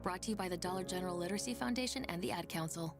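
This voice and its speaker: A woman's voice: on the low side, racing, music in the background. A woman announcer narrating a scripted public service advertisement.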